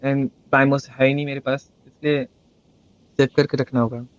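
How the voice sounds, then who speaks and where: A man's voice: medium pitch, little pitch movement, very fast. A man chatting casually as a live game streamer. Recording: dull mic with game music behind. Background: game music.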